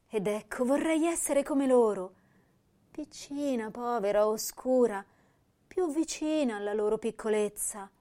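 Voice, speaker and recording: A woman playing a sensitive, melancholy young poet, reciting her verse in a deliberate, emotive, lingering way. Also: fairly high pitch, darkish tone. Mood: yearning, ashamed. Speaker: actress reciting poetry. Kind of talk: dramatic poetry recitation.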